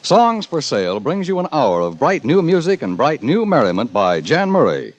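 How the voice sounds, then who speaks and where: Lively 1950s male radio announcer. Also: fairly high pitch, moderate pace, no room sound.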